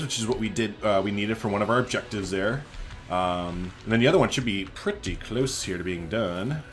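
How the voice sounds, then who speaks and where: Guy doing a chatty let's play, lowish voice but swings up and down a lot, informal, thinking out loud. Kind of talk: casual gameplay commentary.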